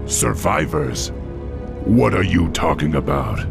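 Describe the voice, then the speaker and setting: An actor voicing a noble, earnest young orc war chief in a video game, with a deep gravelly voice and commanding manner. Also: male voice, very animated, slow pace, over music, heavily acted. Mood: alarmed.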